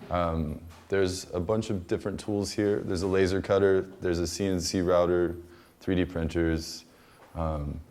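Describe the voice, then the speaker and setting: Low, even-toned American man, halting delivery. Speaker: community workshop member giving a tour. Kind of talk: casual informal explanation.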